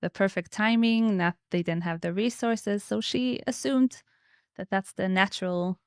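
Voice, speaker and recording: A woman's voice: mid-range voice, unhurried, smooth, dry recording, clean studio sound. A woman in her thirties speaking conversationally as an interview guest, telling personal stories and explaining her views. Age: 30s.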